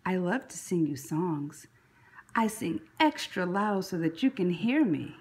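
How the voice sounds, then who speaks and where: A woman reading a children's story aloud expressively, with a breathy, husky voice. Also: lowish voice, expressive, measured pace. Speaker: picture book read-aloud narrator.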